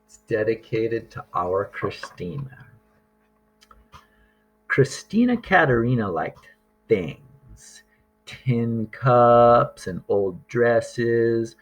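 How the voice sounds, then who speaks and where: A man reading a picture book aloud to young children, deliberate and expressive, drawing out words and pausing for drama. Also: medium pitch.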